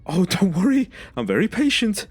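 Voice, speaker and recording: A man's voice: high-pitched, wildly swooping pitch, normal speed, faint music behind. A man acting in an audio drama as a distressed, sarcastic and exasperated survivor, indignant and pleading.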